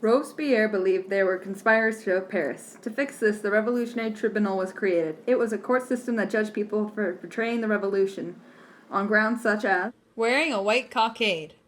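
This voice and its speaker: A woman's voice: mid-range voice, fairly quick, slight background noise. A woman reading scripted history narration as the narrator of a puppet show.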